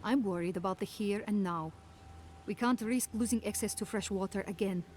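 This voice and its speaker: A woman's voice: mid-range voice, moderate pace, a little mellow, close to an everyday voice. A worried woman who leads a struggling settlement, voiced by an actress for a video game. She speaks plainly and firmly, pressing her case with practical resolve, over faint background noise. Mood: worried, resolute. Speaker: community leader. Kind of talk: naturalistic video game dialogue.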